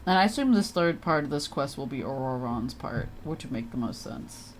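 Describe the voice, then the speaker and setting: A woman's voice: rumbling low, animated, moderate pace, slight background noise, rough recording. A woman livestreaming a video game, chatting casually and reading game text aloud in a giggly, reactive manner.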